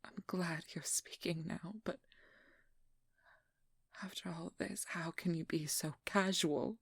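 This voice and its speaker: A woman playing a determined, exasperated vampire hunter with a guarded caring side, speaking softly and often in whispers in an intimate ASMR audio roleplay. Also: on the low side, steady tone, slow pace, dry recording, clean studio sound, full character voice. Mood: quiet, guarded. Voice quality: soft, breathy, often whispered.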